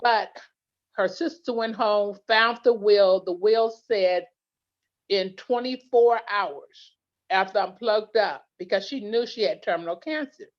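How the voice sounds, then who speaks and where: A woman's voice: medium pitch, measured pace. A woman, a guest in an interview, telling earnest stories with many restarts. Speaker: guest, legal plan representative. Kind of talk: interview conversation. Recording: dull lo-fi sound.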